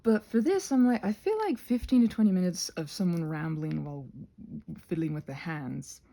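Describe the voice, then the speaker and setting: A woman with a soft, slightly husky voice rambles casually to viewers in an ASMR craft video, halting and self-conscious, drifting into asides. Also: lowish voice, expressive. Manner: halting and self-conscious, trailing off mid-thought, many asides.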